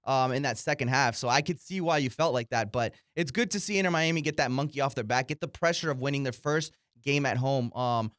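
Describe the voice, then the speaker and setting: A man's voice: mid-range voice, fairly quick, hardly any pauses, a bit bright, dry recording, studio quality. A man giving casual, conversational soccer analysis as a co-host, explaining his points.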